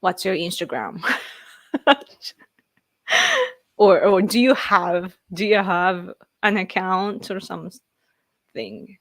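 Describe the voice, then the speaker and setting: A woman's voice: on the low side, lively intonation, takes it slowly, long pauses, no echo. A Japanese woman in her late thirties with a Japanese accent, chatting casually on a live stream and laughing often as she answers viewers. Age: late 30s. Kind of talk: casual chat.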